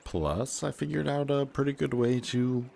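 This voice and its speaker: A man's voice: medium pitch, normal speed, gravelly, darkish tone, close to an everyday voice. A man playing a reformed antihero and affectionate best friend in an intimate audio roleplay, speaking to the listener in a hesitant, confiding, conversational way. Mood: confiding, wry.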